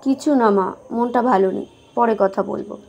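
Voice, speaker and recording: Woman playing a heartsore young daughter, high-pitched. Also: normal speed, a little mellow, a little hiss or hum, close to an everyday voice. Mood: subdued. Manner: emotional, stubborn.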